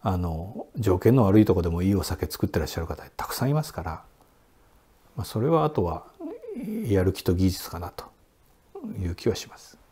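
A man in his sixties, a sake brewery director, answering interview questions in a relaxed, explanatory way with many fillers, seated in a quiet indoor room. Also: deep voice, moderate pace, breathy edge. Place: indoor Japanese room with sliding doors.

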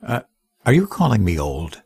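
A man's voice: fairly low pitch, huge pitch swings, normal speed, creaky, a little mellow, breathy edge, heavily acted. A man playing an older billionaire adventurer in an audio drama, wry, skeptical and bantering. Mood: incredulous. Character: aging billionaire tycoon adventurer, wry, skeptical, easily charmed.

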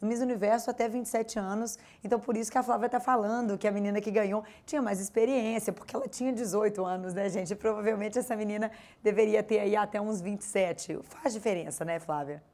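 A woman's voice: mid-range voice, steady tone, fast talker, few pauses, darkish tone. A young woman hosting a TV interview program, asking upbeat leading questions into a handheld mic. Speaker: host. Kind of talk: TV interview and presenting.

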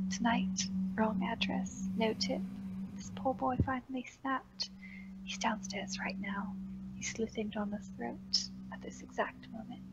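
A woman voicing a calm, manipulative female seer in a horror story reading, in a whispery, soothing, slow hushed voice. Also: fairly high pitch, dull audio.

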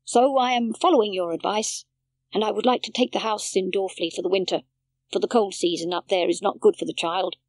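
A woman voicing a gentle, contented old grandfather in a storybook, speaking slowly and calmly. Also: mid-range voice, no room sound, lightly acted. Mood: earnest.

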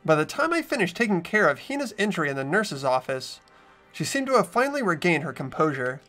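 A woman's voice: on the low side, big pitch swings, brisk, a bit bright. A woman reading narration and lead dialogue in a game playthrough, with casual banter. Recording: music bed behind voice.

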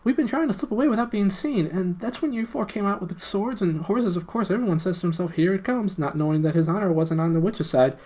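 A man voicing an excitable, nervous male gnome from underground, stammering as he talks. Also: high voice, fast talker, hardly any pauses, voice pushed up. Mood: pleading.

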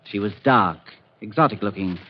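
A man playing a measured, teasing older doctor with a deliberate, avuncular manner in a 1940s radio drama. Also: on the low side, lightly acted. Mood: measured, knowing. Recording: muffled, hissy 1940s radio recording.

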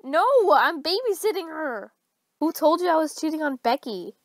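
A performer with a high, female-sounding voice playing a defensive, placating teenage boyfriend in a homemade cartoon. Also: lively intonation, moderate pace, noisy background.

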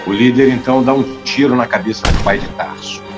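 Mid-pitched male audio drama narrator. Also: steady tone, a little fast, dull audio. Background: clear music.

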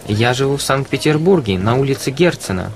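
A man's voice: medium pitch, expressive, a little fast, slight background noise. A man reading scripted dialogue for a language course.

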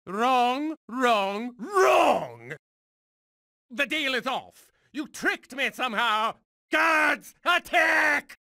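An actor voicing a megalomaniac mutant genius supervillain in a video game, condescending, mocking and theatrical like a cruel schoolmaster. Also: male voice, extremely high pitch, sing-song, drawn-out words, creaky, sharp tone, no echo, raised voice, clean studio sound, exaggerated voice. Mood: indignant, outraged. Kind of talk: theatrical cartoonish game voice acting.

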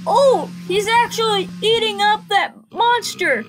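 A woman's voice: very high voice, measured pace, slight background noise, rough recording. A young adult woman reacting to a video, reading out its captions and commenting, acting out the dialogue with exaggeration. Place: small indoor room. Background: music from the video being watched.

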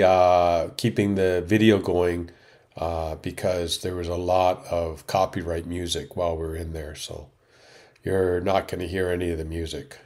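A man's voice: low voice, a little mellow. A man in his fifties from Canada, slightly stuffed up from a cold, chatting to viewers in a travel vlog voiceover. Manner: chatty, addresses viewers as "guys". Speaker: vlog host.

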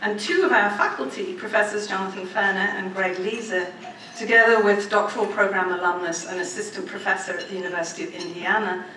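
A middle-aged woman giving a formal prepared academic speech with informal asides, in a measured way that stresses listed points. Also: medium pitch, bright, forceful tone. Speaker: academic speaker at a department anniversary event. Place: medium-sized indoor lecture room with audience. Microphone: gooseneck podium microphone. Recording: roomy, distant microphone, faint background noise.

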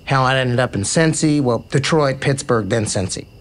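An actor playing an easygoing, kind, joking baseball player in a naturalistic romantic comedy audio drama. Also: male voice, mid-range voice, moderate pace, a little hiss or hum, close to an everyday voice. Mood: warm, candid. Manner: casual, joking, frank.

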